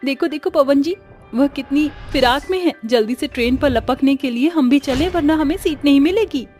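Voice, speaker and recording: A woman's voice: high-pitched, moderate pace, a little mellow, soft background music, voice pushed up, natural voice. A woman voicing a polite, dutiful young working wife in a cartoon story, with a deferential, urging manner. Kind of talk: animated cartoon story acting.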